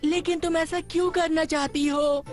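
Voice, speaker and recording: A woman's voice: very high-pitched, fairly even intonation, moderate pace, darkish tone. A woman voicing a naive, well-meaning little schoolboy sidekick in a dubbed cartoon, with music behind. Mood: earnest. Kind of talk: Hindi-dubbed cartoon acting.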